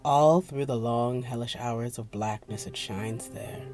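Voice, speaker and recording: A narrator of unclear sex giving a theatrical dramatic reading of a gothic horror story, at times dropping to a breathy near-whisper. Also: medium pitch, a little slow, over music.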